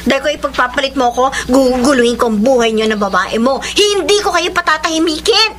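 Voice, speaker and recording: A woman's voice: high-pitched, lively intonation, fairly quick, crowd noise. An actress playing a clingy, vindictive young girlfriend, strident and defiant, in a radio drama. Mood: defiant, threatening. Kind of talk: Filipino radio drama acting.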